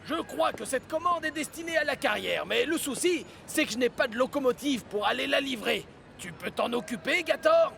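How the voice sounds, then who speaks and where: A man's voice: very high voice, lively intonation, normal speed, gravelly, a bit bright, a little hiss or hum, exaggerated voice. A man voicing a businesslike, fretful but polite harbour boss in a children's cartoon. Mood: concerned.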